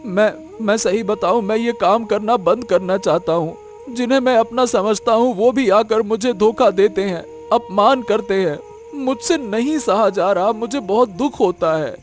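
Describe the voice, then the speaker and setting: A man's voice: high voice, normal speed, faint music behind, close to an everyday voice. A man playing a naive, honest village vegetable seller in a cartoon, earnest and plaintive, often repeating himself. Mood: hurt, pleading. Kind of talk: Hindi cartoon moral story acting.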